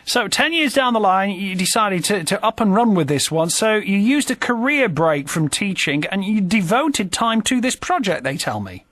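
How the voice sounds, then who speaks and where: A man's voice: high-pitched, big pitch swings, normal speed, hardly any pauses, a bit bright, no echo. A man presenting a radio interview in a studio, upbeat and effusive.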